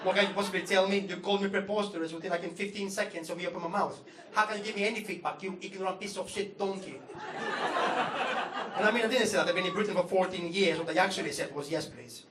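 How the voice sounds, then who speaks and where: A man with a Greek accent performing a stand-up comedy set, ranting and swearing in an animated way to a laughing audience. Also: high-pitched, fast talker, recorded from a distance. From Greece. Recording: roomy live venue with crowd noise.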